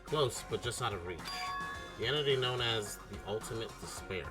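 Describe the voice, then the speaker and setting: A man's voice: lowish voice, animated, normal speed, over music, close to an everyday voice. A man voicing an earnest, anxious, ordinary teenage boy who narrates and questions in a video game. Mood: pensive. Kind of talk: amateur game dialogue and narration read aloud.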